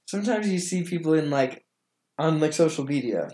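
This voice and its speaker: A man's voice: a little high, animated, moderate pace, dark tone. A man chatting casually as a podcast guest, talking in an informal, hesitant, loosely worded way. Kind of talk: casual chat.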